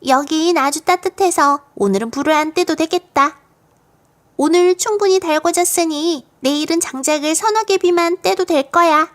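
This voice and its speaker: A woman's voice: very high voice, normal speed, pitched above the performer's own voice. A woman voicing a bold, cheerful, mischievous little girl in a children's storybook, playful and confident as she exclaims. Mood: breezy, confident.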